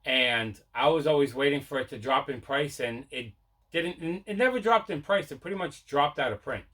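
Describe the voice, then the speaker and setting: A man's voice: fairly high pitch, moderate pace, fairly bright tone. A man hosting a collecting show, chatting casually as he shows off what he picked up. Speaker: host of a collecting video show. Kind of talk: casual unboxing chat.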